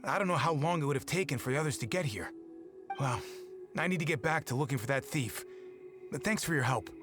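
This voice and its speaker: An actor playing an earnest, dutiful patrol guard who explains things carefully in a video game. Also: male voice, on the high side, expressive, brisk, music in the background, lightly acted. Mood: relieved, businesslike. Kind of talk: video game character acting.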